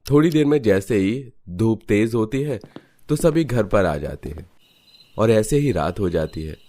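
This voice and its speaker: Very deep-voiced male story narrator. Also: animated, measured pace, warm tone. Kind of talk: scripted cartoon story narration.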